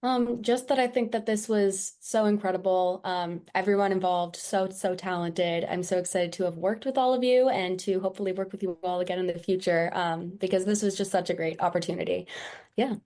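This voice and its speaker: A woman's voice: mid-range voice, steady tone, fairly quick, dark tone. A woman speaking casually as a participant in a group discussion. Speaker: table read participant.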